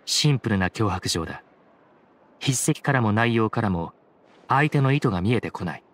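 A man playing a solemn, dignified chief judge in a Japanese game dub, speaking in formal, measured, stiffly courteous phrases. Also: fairly low pitch, lively intonation, fairly bright tone, slight background noise. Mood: calm, serious. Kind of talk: Japanese anime game voice acting. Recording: music behind the voice. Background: game background music.

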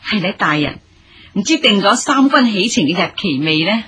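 A woman's voice: medium pitch, very animated, moderate pace, fairly bright tone, breathy edge, exaggerated voice. A woman acting a humble, respectful elderly mother in a period radio drama, with deferential, formal Hong Kong Cantonese delivery. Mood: polite.

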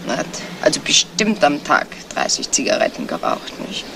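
A woman's voice: low voice, very animated, moderate pace, vocal fry, fairly bright tone, full character voice. A woman playing a nosy, gossipy neighbour in a 1970s radio crime drama, chatty and insinuating, feigning discretion and tagging her remarks with little questions. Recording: noisy old radio play, vehicle ambience.

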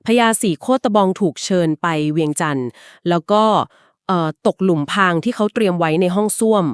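A woman co-hosting a podcast, retelling an old legend conversationally and explaining it at length, with polite particles and short filler pauses. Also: on the low side, smooth, dry recording, clean studio sound. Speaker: podcast co-host. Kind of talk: conversational storytelling of a folk legend.

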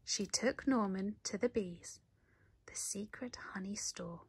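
A woman reading a children's story aloud in an animated way, with dramatic emphasis. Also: mid-range voice, slow, slightly rough, no echo. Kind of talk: expressive picture-book read-aloud.

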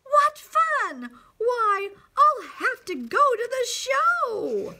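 A woman's voice: high-pitched, huge pitch swings, slow pace, vocal fry, fairly bright tone, pitched above the performer's own voice, exaggerated voice. A woman voicing a lazy, brazen female bird in a children's storybook, exclaiming brashly. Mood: excited.

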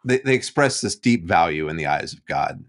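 A man's voice: low voice, very animated, moderate pace. A middle-aged man, a professor co-hosting, talking in a wry, easygoing way over a video call from home. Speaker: seminary professor and podcast co-host. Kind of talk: casual conversational discussion. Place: home room, indoors. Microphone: webcam on video call.

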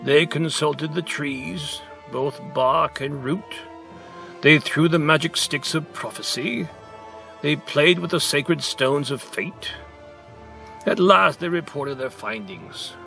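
Slow, dramatic male storyteller. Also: on the high side, creaky, sharp tone, soft background music, rough recording. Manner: deliberate, theatrical. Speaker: story reader.

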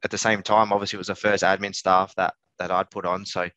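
A man in his thirties, a business owner giving casual, halting interview answers, thinking aloud. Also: low voice, level tone, bright, forceful tone, no room sound. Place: small office on a video call. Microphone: headset. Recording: slightly dull video-call audio.

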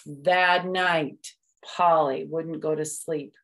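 A woman's voice: low voice, fairly even intonation, takes it slowly, fairly bright tone. A middle-aged woman hosting storytime, reading a picture book aloud and adding simple explanations for young children. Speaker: storytime host. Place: small room at home.

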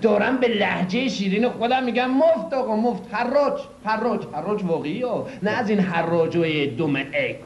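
An actor playing a boastful, pompous used-car dealer who swings between loud and hushed delivery, in a comedy sketch. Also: male voice, high voice, lively intonation, slight background noise. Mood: scheming. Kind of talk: old comedy sketch acting. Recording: dull lo-fi old recording, echoey.

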